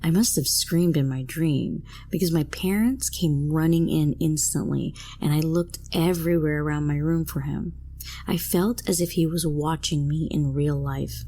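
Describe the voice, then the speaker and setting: A woman's voice: sits low, moderate pace, dark tone. A woman reading a scripted first-person horror story as a narrator.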